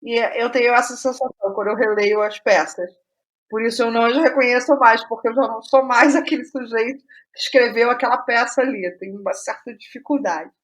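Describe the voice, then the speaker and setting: A Brazilian woman with a fairly high voice talks in a relaxed interview setting. She reflects as she speaks, feeling her way through answers and often digressing. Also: fairly quick, poor audio. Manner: digressive, thinking aloud. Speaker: interviewed playwright. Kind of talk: reflective casual interview conversation.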